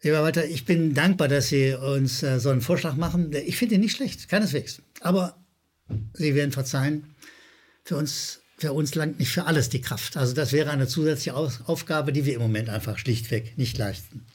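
A man's voice: a little high, normal speed. A middle-aged or older man with a slight Rhineland German accent, hosting an opinionated media commentary in a sardonic, emphatic manner, repeating words for effect. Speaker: host of a media criticism show. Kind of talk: opinionated commentary addressed to viewers.

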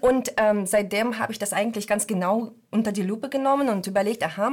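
Guest, thirties, Russian accent, halting speech full of fillers. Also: female voice, medium pitch. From Donetsk, Ukraine. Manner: hesitant, many ums and restarts. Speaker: guest, freelance journalist. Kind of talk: interview answers.

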